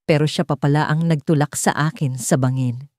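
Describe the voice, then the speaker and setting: A woman narrating a scripted first-person romance story in measured, dramatic short phrases. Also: deep voice, fairly even intonation, a little mellow, dry recording, studio quality.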